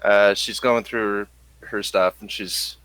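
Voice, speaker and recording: A man narrating a tabletop role-playing game as game master, improvising in a halting, conversational way. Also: fairly low pitch, steady tone, fairly bright tone, dry recording, poor audio.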